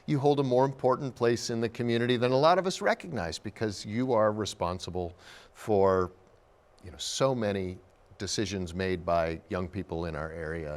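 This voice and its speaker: Male interviewer, lower register, measured and thoughtful, conversational broadcast style. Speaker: interview host.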